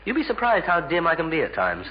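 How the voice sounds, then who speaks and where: A noisy, muffled vintage radio recording of a bumbling, evasive Englishman in a sitcom. He stammers and muddles his words in a quick, swooping delivery, anxious to stay out of trouble. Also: on the high side, huge pitch swings. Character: bumbling, evasive man desperately staying neutral. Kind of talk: 1950s-60s British radio sitcom acting.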